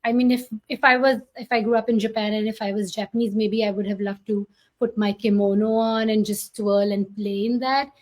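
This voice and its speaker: A woman's voice: mid-range voice, steady tone, brisk, darkish tone. A woman, a fashion designer chatting enthusiastically over a video call and speaking straight to viewers. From India. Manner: enthusiastic, addresses viewers directly. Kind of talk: casual chat.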